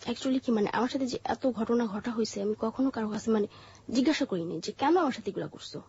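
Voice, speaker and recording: A Bangladeshi woman telling her personal story in an interview, haltingly, often repeating and rephrasing her words. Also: on the high side, darkish tone, muffled recording, slight background noise.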